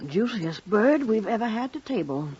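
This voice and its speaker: An actress playing a blunt, homely janitor's wife with a folksy manner in old radio drama style. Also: female voice, on the high side, big pitch swings, moderate pace, a little mellow. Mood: blunt, contented. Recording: muffled old radio, background hiss.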